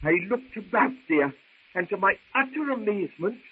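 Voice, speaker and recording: A vain, fussy old professor in vintage radio drama. His voice is high and husky, and he stammers pompously through long sentences. The sound is thin and tinny. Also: lively intonation, normal speed. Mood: fussy, flustered. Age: older. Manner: pompous, stammering, absent-minded. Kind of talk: 1930s radio serial acting. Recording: tinny, band-limited old radio recording.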